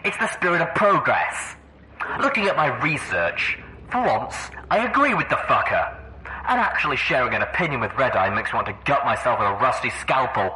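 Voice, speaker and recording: A man's voice: mid-range voice, very animated, fairly quick, sharp tone, low-quality recording. A man playing an irritable, opinionated pony researcher in an audio drama, blunt and caustic. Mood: bitter, scornful.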